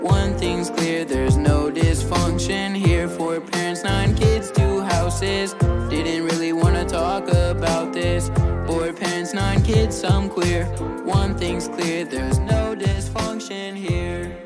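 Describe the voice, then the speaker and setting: Sung theme, low-pitched woman, slow, loud R&B backing. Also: steady tone. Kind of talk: singing theme song. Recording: over loud R&B music.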